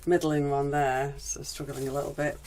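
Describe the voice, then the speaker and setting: A woman vlogging casually from her garden greenhouse, her voice croaky after a cold, chatting in a rambling way with frequent ums. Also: deep voice, level tone, moderate pace, a little mellow, slight background noise. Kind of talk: casual gardening vlog chat.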